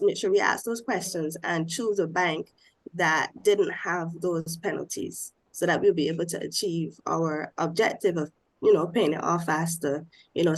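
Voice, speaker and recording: A woman's voice: lowish voice, poor audio. A woman from Barbados on a discussion panel, explaining step by step in a nasal voice because she has a cold.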